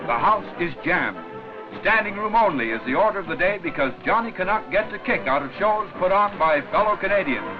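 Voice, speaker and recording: Male newsreel announcer, 1940s, high-set showman delivery, scripted, muffled old film audio over music. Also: animated, fairly quick. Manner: jaunty, declamatory showman delivery. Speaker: newsreel narrator. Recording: dull vintage 1940s newsreel soundtrack.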